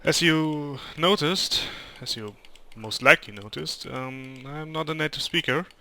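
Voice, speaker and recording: A man's voice: a little high, unhurried, creaky, bright, forceful tone. A young German man with a German accent, speaking English as a second language, giving hesitant gameplay commentary. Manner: hesitant, many ums and restarts. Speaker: gamer.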